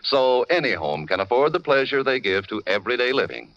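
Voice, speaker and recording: American announcer guy selling stuff on 40s radio, lively swooping delivery, muffled recording. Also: medium pitch, brisk. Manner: polished, persuasive. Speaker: commercial announcer. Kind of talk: 1940s radio advertisement.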